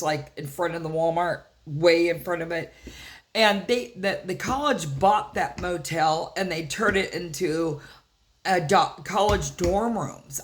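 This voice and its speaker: An older woman with a deep, rough voice, rambling through a story to camera in her house, full of fillers and restarts. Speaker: vlogger. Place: inside a house.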